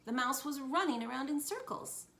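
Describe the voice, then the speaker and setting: A woman's voice: high-pitched, lively intonation, normal speed, sharp tone. A woman reading a children's storybook aloud as a teacher would, expressively, for young listeners. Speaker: teacher reading to first graders. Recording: echoey room, background noise.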